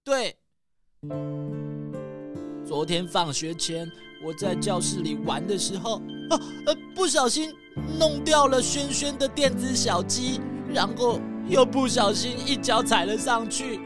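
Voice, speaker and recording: A woman's voice: fairly high pitch, measured pace, lightly acted. A woman playing a nervous, guilt-ridden young pony schoolkid in a children's detective audio drama, hesitant and pleading. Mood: guilty, confessing. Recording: loud music behind.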